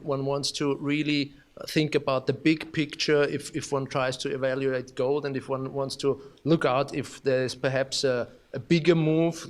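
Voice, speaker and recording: Austrian-accented man giving a conference keynote. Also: medium pitch. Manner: halting, many restarts and fillers, self-deprecating humor. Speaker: keynote speaker. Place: conference hall.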